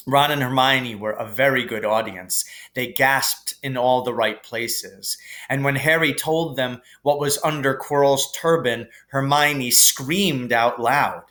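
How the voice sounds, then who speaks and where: A man's voice: mid-range voice, fairly bright tone. A man reading a children's novel aloud in scripted storybook narration. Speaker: reader. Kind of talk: audiobook-style storybook narration.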